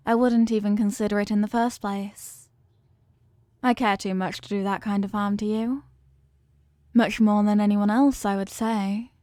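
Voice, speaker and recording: A woman voicing a possessive, regal evil queen in a scripted ASMR roleplay, speaking formally and in measured phrases, doting yet coolly manipulative. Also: medium pitch, no room sound, clean studio sound, lightly acted. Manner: formal, measured, softly commanding.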